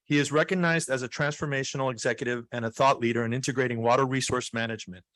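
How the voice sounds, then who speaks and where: A man's voice: mid-range voice, steady tone, fast talker, a bit bright, no echo. A man reading a formal introduction of a speaker at a conference, with occasional filler sounds. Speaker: event host introducing a speaker. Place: conference hall.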